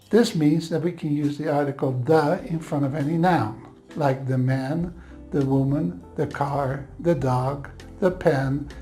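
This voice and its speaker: A man's voice: on the high side, a little mellow. Dutch-accented man teaching Bible grammar. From Netherlands. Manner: earnest, explanatory, reassuring asides.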